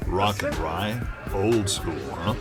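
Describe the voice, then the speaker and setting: A man's voice: deep voice, slow pace, music in the background. A man playing a friendly, smitten bar patron in a campy radio play. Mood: chummy. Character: friendly, smitten Canadian barfly.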